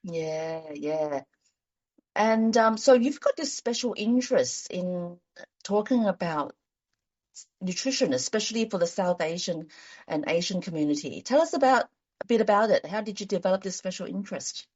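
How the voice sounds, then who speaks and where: A woman's voice: mid-range voice, normal speed, slightly rough, highs cut off. A woman doctor hosting a podcast, chatting casually with a guest. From China. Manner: lively scripted intro, then relaxed chatty questions. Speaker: podcast host, GP. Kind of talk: podcast interview.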